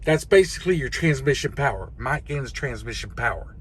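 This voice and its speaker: A man's voice: medium pitch, animated, fairly quick, a bit bright, husky. A man giving a casual, folksy, hands-on explanation in a rambling way, like a trucker teaching others. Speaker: host, truck driver. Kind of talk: casual instructional video, explaining radio controls.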